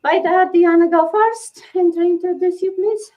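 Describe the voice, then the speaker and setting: A woman's voice: very high-pitched, steady tone, moderate pace. A woman from Romania hosting a casual online panel, friendly and chatty. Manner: friendly, chatty, laughs lightly. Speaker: host. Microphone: video call. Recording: dull lo-fi sound.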